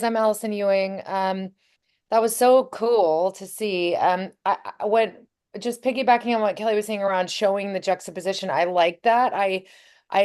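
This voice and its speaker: A woman's voice: mid-range voice, a little mellow. A woman offering casual, thoughtful and tentative feedback as a participant on a video call. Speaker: participant giving script feedback.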